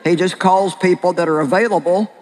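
An older woman with a very deep voice preaching a sermon and sharing her own story in an earnest, plain-spoken way with slow, deliberate pauses. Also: big-room sound, background noise. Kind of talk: sermon with personal testimony. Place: large hall with an audience.

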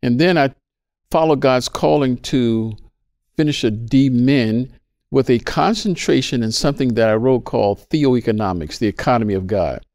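A man in his sixties, mid-pitched, tells of his calling to the ministry with earnest, measured conviction. The sound is dry, with no room around it. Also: a little mellow, no echo. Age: 60s. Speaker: pastor, guest. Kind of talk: interview talk.

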